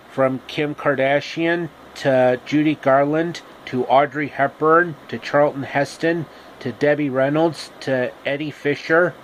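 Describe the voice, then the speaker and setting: A man telling an improvised comic retelling of a fairy tale, haltingly and repeating phrases. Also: on the high side, low-quality recording. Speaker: storyteller. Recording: steady background noise.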